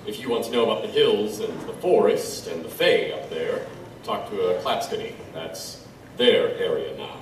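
A man playing a wry, knowledgeable tribesman in an audio drama, measured and musing as he tells lore. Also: fairly low pitch, lively intonation, natural voice. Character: wry, knowledgeable Chinook tribesman, old friend and lore-teller. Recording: roomy, distant, faint background noise.

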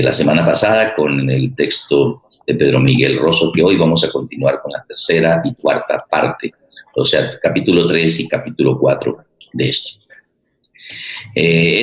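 Colombian male host, very low voice, halting deliberate delivery, live presentation, roomy echo. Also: a bit bright. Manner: halting, deliberate, repeats words. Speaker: host of a radio theatre programme. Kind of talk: live presentation to an online audience. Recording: roomy, echoing voice.

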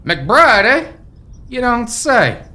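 High-pitched folksy old rancher ghost. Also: male voice, sing-song, very slow, sharp tone, exaggerated voice. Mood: curious, amused. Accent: American. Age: older. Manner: folksy old-timer drawl with rustic phrasing. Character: folksy, forgetful old male ghost of a gold-rush rancher. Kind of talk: family radio play acting.

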